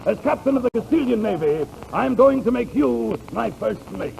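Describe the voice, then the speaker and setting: A very gravelly, high-voiced comic king in an old 1940s radio sketch. He blusters pompously, his pitch swinging about, over a slightly noisy vintage recording. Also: animated, moderate pace, heavily acted. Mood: blustering. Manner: pompous, declamatory. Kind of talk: 1940s radio comedy acting.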